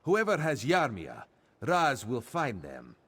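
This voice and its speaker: A sly cat-folk spy. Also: male voice, mid-range voice, huge pitch swings, slow, fairly bright tone, slightly rough, heavily acted. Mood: worried, vengeful. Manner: refers to himself by name, playful yet menacing. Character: sly male cat-folk spy, speaks of himself in third person. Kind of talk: video game dialogue.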